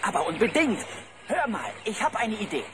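An actress playing a kindly bear friend in a children's cartoon audio drama, warm, reassuring and enthusiastic. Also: female voice, medium pitch, sing-song, normal speed, noisy background, close to an everyday voice. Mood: encouraging.

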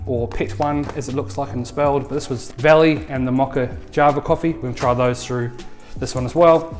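A man in his thirties with a New Zealand accent, chatting casually as he reviews coffee in his home kitchen. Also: mid-range voice. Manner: jokey, chatty, playful. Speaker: coffee show host.

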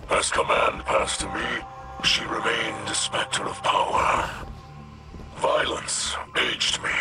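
An actor playing a brooding, haunted alien warrior captain in a video game, solemn and formal with a gravelly, breathy rasp. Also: male voice, fairly low pitch, low-quality recording. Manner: solemn, formal, halting delivery. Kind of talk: dramatic video game acting.

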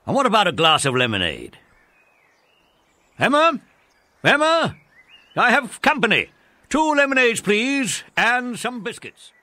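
An actor playing a hearty, hospitable old retired general in a children's audio play, courteous and loud. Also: male voice, very high-pitched, wildly swooping pitch, measured pace, pauses often, dry recording, full character voice. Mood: calling out. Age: older. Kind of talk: children's audio drama acting.

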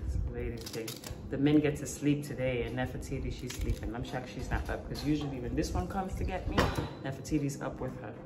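A woman's voice: rumbling low, a little fast, few pauses. A woman in her thirties chatting casually with her young daughter while cooking at home, playful and sing-song at times. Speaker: vlogger mother. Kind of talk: casual family vlog chat. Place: home kitchen, indoors. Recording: echoey kitchen with loud background noise.

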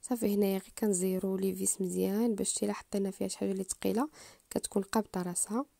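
A woman with a Moroccan Arabic accent talks viewers through assembling furniture at a fast clip. Her voice is very dark and mellow, and it is recorded close and dry with no room sound. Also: mid-range voice, flat delivery, gravelly, dry recording. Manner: unhurried, explanatory, addresses viewers directly. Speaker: home DIY channel host. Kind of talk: step-by-step assembly tutorial voice-over.

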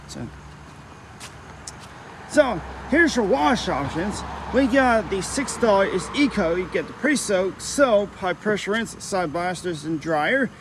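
A young man with a high-pitched voice rambles through a car wash tour, circling back to the same phrases and restarting mid-sentence. He speaks into a handheld phone outdoors, with faint traffic and street hum behind him. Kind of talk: casual walkthrough vlog. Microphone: handheld phone camera.

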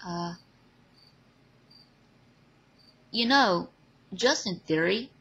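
A woman's voice: mid-range voice, animated, slow, fairly bright tone, slightly rough, exaggerated voice. A woman voicing an awkward, sheepish teenage boy in a fan audio drama, hesitant and stammering. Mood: tentative.

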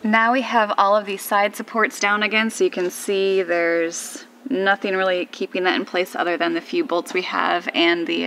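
A woman's voice: on the low side, vocal fry, bright, forceful tone. A woman in her thirties casually explaining a boat build step by step, filming herself with a camera held at arm's length in a large covered shed. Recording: noisy shed recording.

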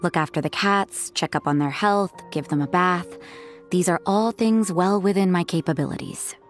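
Game role: woman voicing a detached, sardonic young nun, low voice, unbothered. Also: normal speed, faint music behind, natural voice. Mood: calm. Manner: cool, flat and sardonic. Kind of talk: video game voice acting.

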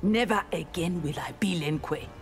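Actress as a low-voiced, defiant female ninja. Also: moderate pace, close to an everyday voice. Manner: firm, defiant. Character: indignant, independent young female ninja defector. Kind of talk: video game character dialogue. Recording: loud music behind the voice.

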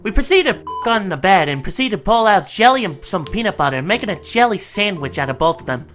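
Woman doing a sassy flirty demon gigolo guy, cartoon dub, slangy, real bouncy pitch. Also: expressive, quick pace, fairly bright tone, soft background music, natural voice. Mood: bawdy bragging. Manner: sassy, slangy, sugary pet names. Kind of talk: comic dub voice acting.

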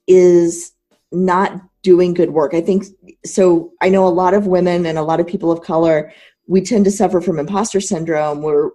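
Female podcast interviewer, dark mellow tone, on the low side, measured and articulate. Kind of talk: conversational interview.

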